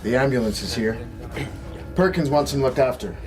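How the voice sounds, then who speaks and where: An actor playing a calm, official man in a radio drama, speaking in a measured, conciliatory and businesslike way. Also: mid-range voice, music in the background, natural voice.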